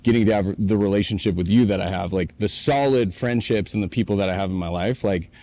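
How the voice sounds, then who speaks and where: Earnest man in his late twenties, low voice. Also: fast talker, warm tone. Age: 20s. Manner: earnest, reflective storytelling. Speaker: podcast co-host. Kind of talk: casual chat. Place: warehouse.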